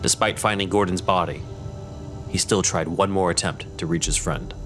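Deep man's voice, solemn storytelling, scripted narration, prominent music behind. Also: steady tone, moderate pace.

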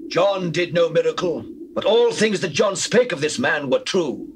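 An earnest man of ancient Judea, played by an actor declaims in a Bible audio drama. His voice sits a little high, over clear noise from an old cassette. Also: lively intonation, moderate pace, natural voice. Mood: convinced.

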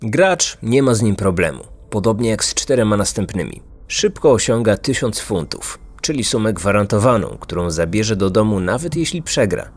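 A man's voice: on the low side, very animated, normal speed, few pauses. A man in his thirties reading scripted true-crime narration as a podcast host.

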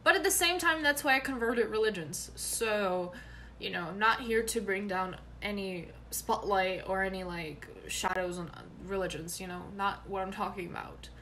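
A woman's voice: mid-range voice, moderate pace, sharp tone, slight background noise. A young woman whose first language is Ukrainian, chatting to her phone camera in a small bedroom and rambling with many fillers. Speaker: vlogger. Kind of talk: casual chat to camera. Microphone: phone camera close up.

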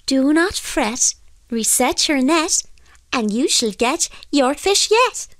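A woman voicing a little male fairy from a storybook, proud and courteous, with a small voice and formal, deliberate delivery. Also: high voice, very animated, a bit bright, no echo, studio quality, voice pushed up, heavily acted. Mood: reassuring.

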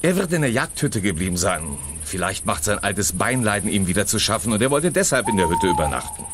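A man's voice: on the low side, very animated, fairly quick, very noisy. A man playing a protective, exasperated father in a German children's audio drama. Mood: reassuring.

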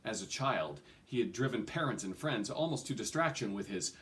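A middle-aged man reads fiction aloud like an audiobook performer. His voice sits somewhat low and swings widely in pitch, with theatrical, deliberate phrasing. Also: animated, fairly bright tone. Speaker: reader. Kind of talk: expressive audiobook-style storytelling, read aloud. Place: small carpeted room at home.

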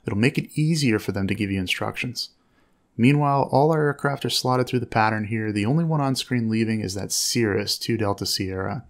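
A man giving measured, instructive commentary on air traffic audio as a narrator. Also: lowish voice.

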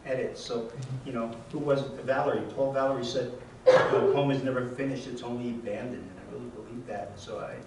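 An older man reading his poems aloud in a measured, wry way, with casual asides between them. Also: medium pitch, steady tone, background noise. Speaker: poet reading his work. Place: lecture room at a reading series. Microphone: handheld mic on a stand, close. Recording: echoey room, mic at a distance.

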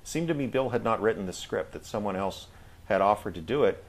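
A middle-aged American man reminiscing casually as a guest in an interview, speaking in a reflective, halting way. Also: lowish voice, lively intonation. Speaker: guest, audio drama actor.

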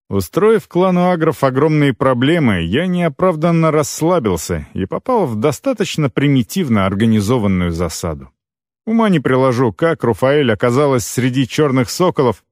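A man narrating scripted first-person fiction as an audiobook reader, expressive, with deliberate phrase breaks. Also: mid-range voice, dry recording, clean studio sound.

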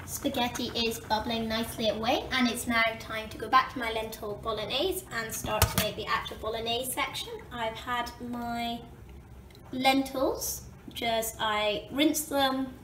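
A woman's voice: a little high, fairly even intonation, unhurried, a bit bright. A young woman with a Scottish accent, chatting upbeat to camera as she cooks in a small kitchen. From Scotland. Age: young adult. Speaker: student vlogger. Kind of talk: casual cooking vlog. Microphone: camera mic, handheld and propped up. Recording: echoey kitchen with faint background noise.

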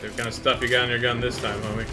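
A man in his thirties with a mid-pitched voice commentates casually on a video game. He talks like a streamer at home, and game music plays clearly behind him. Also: little pitch movement, rapid-fire. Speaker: gaming streamer. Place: small home room.

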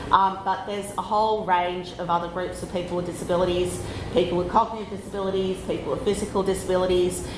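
Loud Australian woman presenting. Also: fairly low pitch, little pitch movement, reverberant, noisy background. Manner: loud, chatty, deliberately slowed. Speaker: conference speaker. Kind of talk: conference presentation. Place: conference room.

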